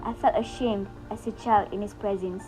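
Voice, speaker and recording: A woman's voice: medium pitch, steady tone, normal speed, over music, lightly acted, rough recording. A woman playing a grieving, tormented son in a stage play, with earnest, formal theatrical phrasing. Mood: sorrowful. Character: grieving, tormented son Heinrich mourning his mother.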